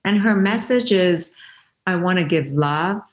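A woman's voice: fairly low pitch, measured pace. A woman from Iran whose first language is Farsi, telling stories earnestly and reflectively as an interview guest, with frequent restarts. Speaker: interview guest, founder of a women's giving circle. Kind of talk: conversational interview storytelling.

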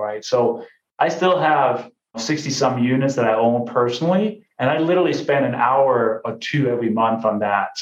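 Guest, male, late 40s, mid pitch, casual and upbeat, dull lo-fi line. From Denmark. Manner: upbeat, conversational, says "right?" and "you know" often. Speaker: guest, real estate investor. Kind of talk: casual interview talk. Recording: roomy, dull lo-fi sound.

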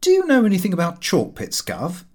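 A flippant young man, put on by a male reader, pitched high and swooping. He is a storybook son, breezy and slangy, with a supercilious edge. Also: wildly swooping pitch, fairly quick, a little mellow, full character voice. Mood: cocky, insistent.

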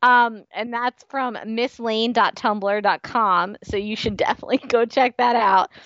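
A woman's voice: a little high, steady tone, measured pace, fairly bright tone, no echo, highs cut off. A woman co-hosting a fan podcast in casual, amused chat. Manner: giggly, amused. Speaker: podcast co-host.

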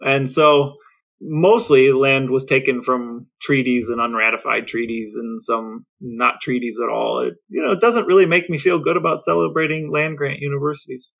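A man's voice: mid-range voice, steady tone, moderate pace, gravelly, a bit bright, far from the mic. A man co-hosting a casual podcast, explaining things and asking questions. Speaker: podcast co-host, interviewer.

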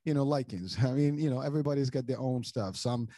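Middle-aged man from morocco, podcast host, rambling restarts, mellow tone, dry close sound. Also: medium pitch, quick pace, no echo. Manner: rambling, many restarts and repeated words. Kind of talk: casual conversational interview.

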